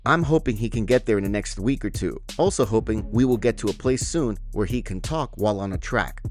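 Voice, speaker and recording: A man's voice: fairly low pitch, lively intonation, a little fast, soft background music. A man presenting MMA news as scripted narration, reading out reports and quotes.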